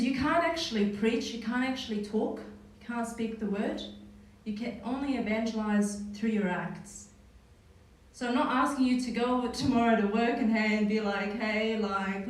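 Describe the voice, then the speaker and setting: A woman's voice: fairly high pitch, a little mellow. Woman with a New Zealand accent, teaching in an echoey hall. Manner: conversational, frequent "like" and "right?" tags. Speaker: Bible study leader. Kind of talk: casual Bible study teaching. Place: church hall. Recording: echoey hall, mic far off.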